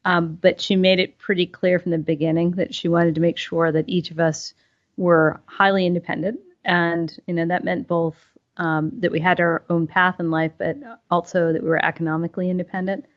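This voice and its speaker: A woman's voice: lowish voice, flat delivery. A middle-aged woman from northern Maine, a company CEO, answering interview questions reflectively with many fillers and restarts. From northern Maine, USA. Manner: thoughtful, halting, many fillers. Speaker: CEO, podcast guest. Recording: dull lo-fi sound, faint music.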